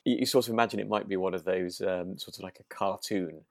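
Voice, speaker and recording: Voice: sits low, steady tone, fast talker, no echo, studio quality. A podcast co-host chatting casually about a radio drama, rambling a little and often repeating words while thinking aloud.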